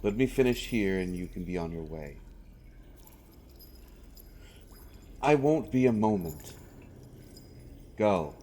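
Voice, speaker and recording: Male actor for deep-voiced fantasy roleplay royal interrogator, secretly protective, earnest, pleading urgency. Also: animated, normal speed, dark tone. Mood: tense, guarded. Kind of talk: dramatic fantasy audio roleplay addressed to the listener.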